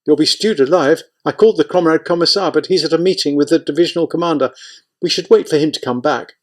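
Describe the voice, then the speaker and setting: A man's voice: on the high side, brisk, pitched above the performer's own voice, natural voice. A man voicing an anxious, fussing male army aide who pleads in a flustered way. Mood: pleading.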